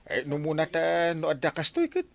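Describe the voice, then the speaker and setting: A man's voice: fairly high pitch, huge pitch swings, normal speed, gravelly, fairly bright tone, full character voice. A village captain with an Ilocano accent, in radio comedy-drama style. His voice swoops up and down as he scolds, exasperated and full of authority. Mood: animated, lamenting.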